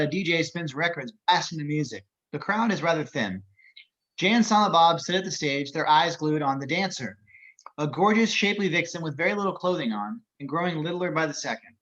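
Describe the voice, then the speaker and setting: Higher-pitched male narrator, dull audio. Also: fairly bright tone. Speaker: narrator of action descriptions. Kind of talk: scripted narration at table read.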